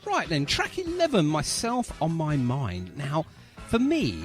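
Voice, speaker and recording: A man's voice: fairly high pitch, wildly swooping pitch, a little slow. A man hosting a radio show with casual, jokey, teasing chat about music.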